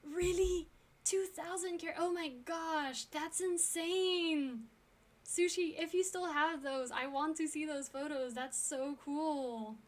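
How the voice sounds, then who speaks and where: A young woman from France talks to her stream chat in a relaxed, rambling way. Her voice sits high, and she fills pauses with ums and quick laughs. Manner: chatty, frequent ums and laughs. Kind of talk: casual chat with viewers.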